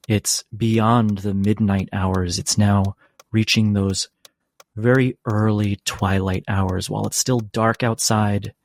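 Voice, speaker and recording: A man narrating a tabletop role-playing game as game master, in deliberate, broken-up phrases. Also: lowish voice.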